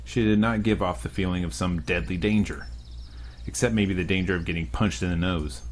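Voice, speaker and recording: A man's voice: low-pitched, fairly even intonation, fairly quick, a little hiss or hum. A young man hosting a let's play, reading a visual novel aloud with crude joking asides.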